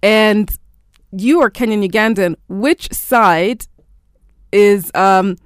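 A woman's voice: mid-range voice, slow, clean tone, no echo, studio quality. A woman from Tanzania hosts a casual interview in a chatty, playful way, sometimes tripping over her words. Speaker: interview host.

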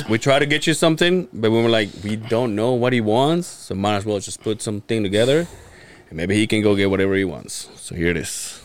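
A man's voice: lowish voice, expressive, a little fast. A man around forty, co-hosting a podcast with blunt, crude wisecracks. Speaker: podcast co-host. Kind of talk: casual podcast chat.

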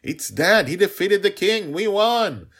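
Grown man doing a brave excitable little girl, raised pitch, bold and eager, story adventure voice. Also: a little slow, husky, full character voice. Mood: gleeful, excited. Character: fearless, excitable five-year-old girl adventurer. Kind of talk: audio story character voice.

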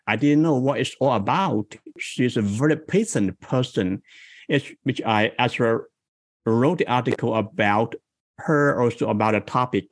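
A man in his forties from South China with a Chinese accent, a guest in an interview who tells stories in a slow, reflective way. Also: mid-range voice, no echo. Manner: slow, thoughtful, reflective storytelling. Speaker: guest, improv coach. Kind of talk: interview conversation.

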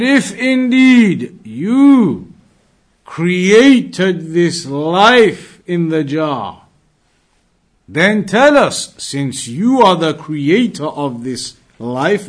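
A man giving a religious class lecture as a teacher, speaking emphatically with repetition and rhetorical questions. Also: sits high. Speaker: Islamic studies teacher.

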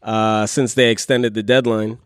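Smooth-voiced man, easygoing and chatty. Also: on the low side, little pitch movement, a little slow, no room sound, studio quality. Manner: easygoing, laughs while telling stories. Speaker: guest. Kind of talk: casual group chat.

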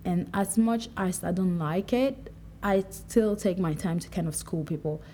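Low-voiced young woman from Cameroon. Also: a little slow, a little hiss or hum. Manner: conversational, animated when quoting herself. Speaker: podcast speaker. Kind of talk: personal reflective monologue.

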